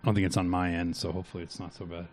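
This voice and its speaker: A man's voice: low voice, little pitch movement, very fast, crowd noise. Rambling man hosting a casual podcast. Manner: loose and rambling, lots of "like" and "you know". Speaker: podcast host.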